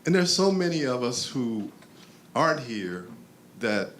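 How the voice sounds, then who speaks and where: An older man near retirement, reminiscing on an alumni panel in a relaxed, rambling way. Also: mid-range voice, unhurried, a little hiss or hum.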